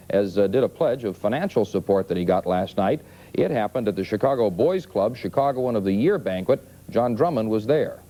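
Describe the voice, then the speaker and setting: A man with an American accent anchors a news broadcast. His voice is mellow and relaxed, with an average male pitch.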